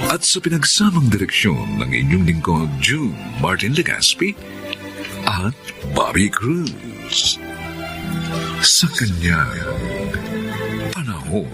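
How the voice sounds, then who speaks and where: A man's voice: lowish voice, wildly swooping pitch, takes it slowly, long pauses. A man with a Filipino accent giving solemn scripted narration for a radio drama. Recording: clear music behind.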